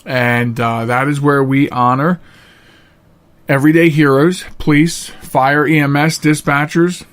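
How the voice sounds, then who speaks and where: A man hosting a podcast in a casual, unscripted monologue, earnest and plain-spoken, halting at times and repeating phrases for emphasis. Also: on the high side, steady tone. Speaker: podcast host.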